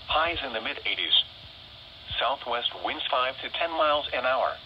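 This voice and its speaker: A man with a mid-pitched voice reads a scripted forecast in short, formal phrases. He is heard over a radio, dull and muffled, with very loud noise across the recording. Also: animated, moderate pace. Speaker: weather radio forecast reader. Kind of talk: scripted weather forecast narration.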